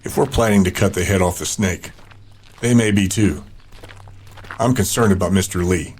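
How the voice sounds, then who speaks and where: A man playing a cold, confident militia leader in an audio drama, deliberate and commanding. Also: sits low, a little mellow, a little hiss or hum, natural voice. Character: cold, confident male militia leader who relishes cruelty.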